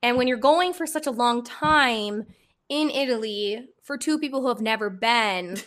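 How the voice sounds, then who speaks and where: A hoarse woman chatting on her podcast, her voice slightly phlegmy from a cold. Her tone is bright and sits a touch high, and she talks in a bubbly, giggly way. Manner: bubbly, chatty, quick to laugh. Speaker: podcast host. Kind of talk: casual chat.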